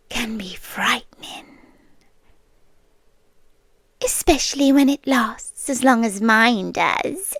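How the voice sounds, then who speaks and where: A woman with a British accent playing a possessive, ageless creature girlfriend in an intimate ASMR roleplay, breathy and often whispering, tender yet menacing. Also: high-pitched, no room sound. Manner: slow, deliberate, coaxing with lingering pauses. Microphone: close, dry ASMR microphone.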